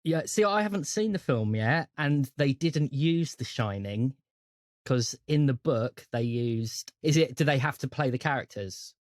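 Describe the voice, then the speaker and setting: A man's voice: mid-range voice, lively intonation, unhurried, dry recording, clean studio sound. A man in casual podcast chat, talking in an enthusiastic, rambling way. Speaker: podcast co-host.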